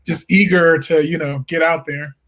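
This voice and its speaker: A man's voice: on the high side, fairly even intonation, moderate pace, fairly bright tone. A young man from the Midwest, a jazz singer, answering interview questions in an easygoing way with frequent laughs and fillers. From Peoria, Illinois. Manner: easygoing, laughs often, many fillers and restarts. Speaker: jazz singer and songwriter, guest.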